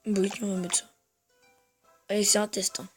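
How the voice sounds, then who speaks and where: A man's voice: high voice, completely flat delivery, normal speed, soft background music. A man with a head cold giving rambling, hesitant gameplay commentary to his viewers. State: has a head cold, congested. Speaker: gamer.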